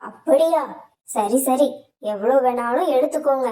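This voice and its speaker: A man's voice: very high voice, measured pace, warm tone, reverberant, far from the mic. A crooked eagle merchant, played by a man in a children's cartoon. He talks brusquely and waves everyone away like a shopkeeper guarding his till. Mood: agreeable. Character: gruff, dismissive, cheating eagle timber merchant. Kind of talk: children's cartoon story acting.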